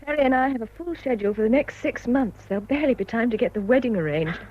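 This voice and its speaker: Actress as animated, practical woman. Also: on the high side, fast talker, close to an everyday voice. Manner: matter-of-fact, firm. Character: brisk, unsentimental engaged woman, practical and self-possessed. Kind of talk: British radio drama acting. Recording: dull, noisy radio recording.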